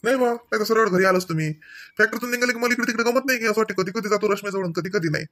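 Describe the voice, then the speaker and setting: A man's voice: high voice, racing, pushed and bright. A man voicing a teasing, affectionate young husband in a comedy cartoon, chatting in a rural Marathi accent. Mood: teasing, fond.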